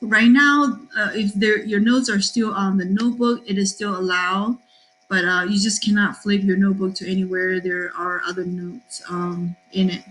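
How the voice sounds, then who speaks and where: A middle-aged woman from Taiwan, a math teacher, giving a cheerful class introduction with frequent ums and pauses. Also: mid-range voice, steady tone, a bit bright, a little hiss or hum, rough recording. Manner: cheerful, with many fillers and pauses.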